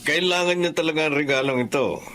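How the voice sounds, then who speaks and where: An actor with a Filipino accent playing an assured, persuasive boss of a shady business in a radio drama. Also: male voice, on the high side, fast, fairly bright tone, a little hiss or hum. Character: smooth, confident male boss of shady business.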